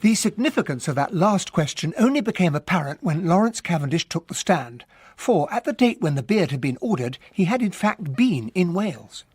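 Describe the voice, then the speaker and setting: An actor playing an urbane English gentleman who narrates a radio drama in an expressive storytelling manner. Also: sits high, moderate pace, husky, no echo, clean studio sound, exaggerated voice. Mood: grave. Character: English gentleman narrator recounting a murder trial.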